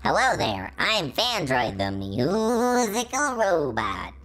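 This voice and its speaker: A woman's voice: on the low side, wildly swooping pitch, takes it slowly, fairly bright tone, voice pushed up, exaggerated voice. A woman voicing a cheerful, trusting male musical robot with a friendly, reassuring manner. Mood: friendly greeting.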